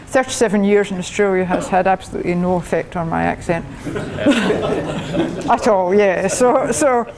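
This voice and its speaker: A woman's voice: medium pitch, unhurried, crowd noise. An older Scottish woman, a professor, giving a chatty technical talk to a club, laughing often and restarting her sentences. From Scotland. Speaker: guest speaker, professor.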